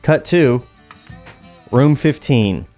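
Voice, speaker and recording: A man's voice: mid-range voice, lively intonation, very slow, a little mellow. A man reading screenplay stage directions at a podcast table read, with joking asides. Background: background music.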